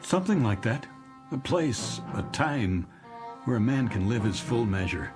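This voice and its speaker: An American actor playing a middle-aged, disillusioned executive in a radio drama, halting and repeating himself as he speaks. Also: medium pitch, big pitch swings. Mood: wistful, dreamy. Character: weary, disillusioned married male executive, dreamy and self-deprecating. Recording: music bed under the dialogue.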